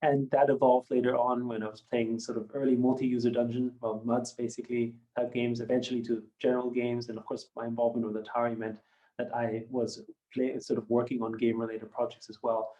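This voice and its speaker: A German native speaker in his fifties, from Austria, talks in a measured, reflective way and hedges often. His voice is mellow and warm, and its pitch sits in the middle range for a man. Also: level tone, dull audio. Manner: measured and reflective, many hedging fillers. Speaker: company founder and guest. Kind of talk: podcast interview.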